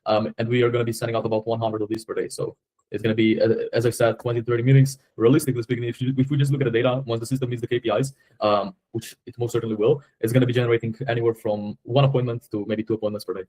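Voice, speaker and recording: A man's voice: mid-range voice, flat delivery, rapid-fire, dark tone. A man with a Slovenian accent in a casual sales conversation, speaking informally and often doubling back on his phrases. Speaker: agency owner on a sales call.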